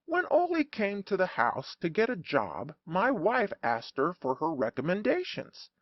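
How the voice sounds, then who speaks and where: A man narrating a humorous audiobook in an animated, wry storytelling manner. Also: sits high, unhurried, dry recording, highs cut off.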